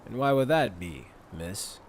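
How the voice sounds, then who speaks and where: A man's voice: on the low side, lively intonation, a little slow, a little hiss or hum. A man playing an unruffled, wry veteran military captain.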